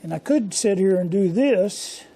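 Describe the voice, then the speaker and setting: An older man hosting a casual workshop vlog from his home machine shop, talking in a hoarse, rambling, folksy way. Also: sits high, animated, measured pace, mellow. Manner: rambling, folksy and self-deprecating. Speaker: workshop channel host.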